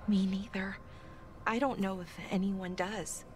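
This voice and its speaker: Fantasy game companion female actor: young, gentle, bereaved, subdued delivery, mid-pitched and unhurried. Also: steady tone, slight background noise, close to an everyday voice. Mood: subdued grief. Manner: subdued, halting. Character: grieving young woman, gentle fantasy adventurer. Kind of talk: fantasy video game acting.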